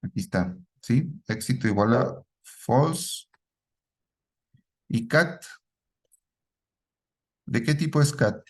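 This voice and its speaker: A man leads a live programming lesson at an easy, mid-range pitch. He questions his students and echoes back what they say. Each point ends with a quick check that the class is following. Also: animated. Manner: asks the class questions and repeats their answers, ends lines with a checking "¿sí?". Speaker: programming teacher.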